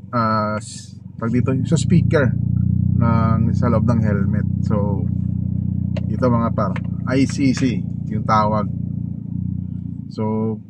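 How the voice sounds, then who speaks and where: A man with a Filipino accent chatting casually as a motovlogger unboxing gear, informal and halting as he searches for words. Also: on the low side, steady tone. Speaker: motovlogger host. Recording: clearly noisy, vehicle and ambient noise.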